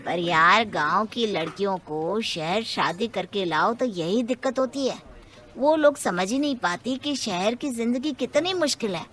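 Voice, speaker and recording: A woman's voice: mid-range voice, lively intonation, a little slow, a bit bright. A woman as a complaining male office friend. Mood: knowing, complaining. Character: husband's office friend, knowing, complaining man. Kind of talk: animated storybook character acting.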